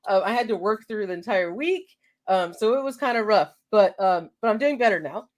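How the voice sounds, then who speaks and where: A woman's voice: medium pitch, animated, a little fast. A woman hosting a live show in casual chat, a little congested from a cold, laughing easily as she reads out viewer names.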